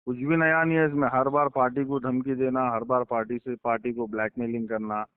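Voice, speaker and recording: A man's voice: mid-range voice, little pitch movement, fairly quick, clean tone, no room sound. A man with a Hyderabadi accent talking informally, pressing his points with repetition and pointed questions. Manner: insistent, repeats himself and presses points with questions. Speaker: political insider giving his account to a journalist. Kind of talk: informal phone conversation. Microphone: phone line. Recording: tinny recorded phone call.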